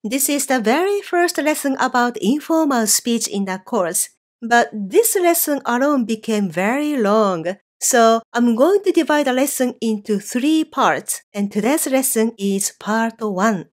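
A woman teaching a Japanese course online, explaining clearly and slowing down on example phrases. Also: on the high side, expressive. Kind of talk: scripted online lesson.

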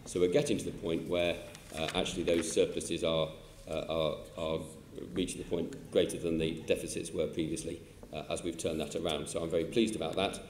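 An older man with a British accent speaks low and deliberately, pausing often on filler sounds as he answers questions. His voice carries through a large council chamber with a long reverberant tail and faint room noise. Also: level tone. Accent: British English. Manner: measured, halting, many filler sounds. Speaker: chief executive answering questions. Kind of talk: formal committee testimony. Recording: echoey hall with faint ambience.

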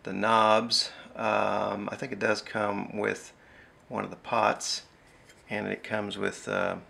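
A middle-aged man with a gravelly voice giving a casual, halting hands-on demonstration at an indoor desk. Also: fairly low pitch. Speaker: amateur radio hobbyist demonstrating gear. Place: small indoor radio desk. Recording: steady noisy background hiss and ambience.

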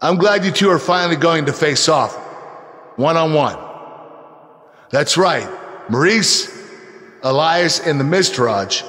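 Game authority figure: stern middle-aged general manager, slow, deliberate, reverberant arena. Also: male voice, on the high side, animated, a bit bright. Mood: firm. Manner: measured, deliberate, announcing. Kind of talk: scripted announcement in a video game.

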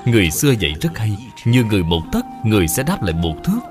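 A man voicing a kindly, wise father and dutiful official in a cartoon, measured and moralizing. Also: on the low side, big pitch swings, over music. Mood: gentle, instructive. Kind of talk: cartoon dub acting.

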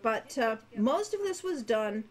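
American lady in her 60s, kinda high voice, rambly stream chat, lots of stopping to think. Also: sing-song. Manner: halting, rambling, thinking aloud. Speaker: game designer and co-writer, livestream host. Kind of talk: casual livestream chat. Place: home room. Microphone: webcam-style setup, no headset, at desk distance.

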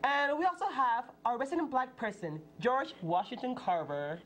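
A young woman playing a news anchor on a student spoof newscast, reading her lines deadpan in a TV studio set. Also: a little high, moderate pace, slight background noise. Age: young adult.

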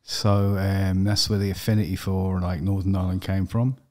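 Low, mellow man with a London accent. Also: normal speed. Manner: plain, steady storytelling with fillers. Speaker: guest. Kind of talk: personal interview. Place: podcast studio with brick wall. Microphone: studio desk mic with headphones.

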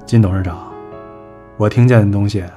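A man's voice: lowish voice, steady tone, normal speed, over music, close to an everyday voice. An actor playing a cynical male talent manager in an audio drama, blunt, sarcastic and scornful. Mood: mocking. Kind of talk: Chinese audio drama acting.

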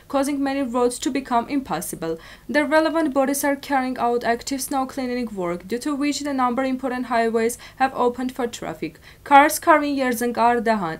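A young woman with an Armenian accent reading scripted news in a formal, stilted way. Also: high-pitched. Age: young adult. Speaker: news presenter.

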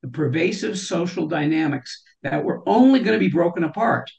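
A woman's voice: on the low side, lively intonation, fairly quick, creaky, darkish tone, muffled recording. An older woman, a guest on an interview show, speaks from home over a video call, with many restarts and "you know" fillers. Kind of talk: interview conversation. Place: home room with shelves.

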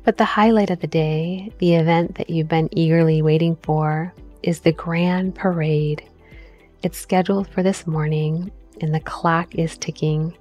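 A woman narrating a scripted guided visualization in a gentle, measured manner, with deliberate pauses. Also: low voice, steady tone, lo-fi sound. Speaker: meditation guide, mindset coach. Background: background music.

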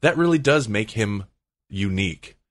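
Low-pitched man chatting casually on a podcast. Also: expressive, normal speed, fairly bright tone, dry recording. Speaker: podcast host.

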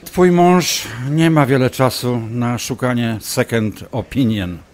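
An actor playing a smug, obsessive doctor in an audio drama, taunting and insinuating as a manipulative ex-lover. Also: male voice, medium pitch, lively intonation, slow, darkish tone. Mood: insinuating, pressing. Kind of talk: audio drama acting, dialogue.

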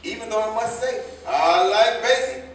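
A man's voice: high-pitched, normal speed, natural voice. A man in a staged play reading playing an older American tailor turned driver, a proud, hard-drinking, folksy storyteller who rambles. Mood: fond, amused. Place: indoor theater stage, recorded from afar. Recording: echoey stage, distant mic, faint noise.

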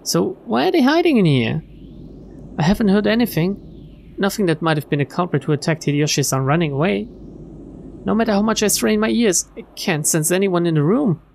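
Creaky-voiced streamer reading a visual novel. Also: medium pitch, animated, a little fast, darkish tone, slight background noise. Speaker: streamer reading a game aloud. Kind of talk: dramatic read-aloud of visual novel text. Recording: game music behind the voice.

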